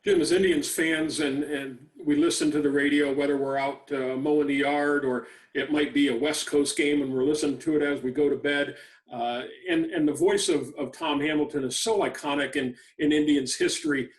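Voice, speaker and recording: A man's voice: on the high side, fairly even intonation, moderate pace. A man hosting a podcast interview in a conversational style, recorded in an echoey space at some distance from the microphone. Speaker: podcast host. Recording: roomy, distant from the mic.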